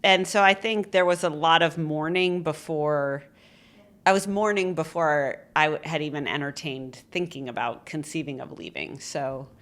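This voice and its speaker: A woman's voice: low voice, moderate pace, fairly bright tone. A woman in her forties, raised in New England, speaking candidly and chattily as an interview guest with self-deprecating asides. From born in Colorado, raised in New Hampshire. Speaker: interview guest, former media CEO. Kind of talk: casual interview conversation.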